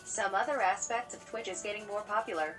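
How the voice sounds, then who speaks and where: A synthetic woman's voice reading out chat messages in an even, monotone way. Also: medium pitch, fairly quick. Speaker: text-to-speech reader of chat messages. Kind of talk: flat synthetic read-out of chat. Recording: distant speaker voice under music. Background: background music.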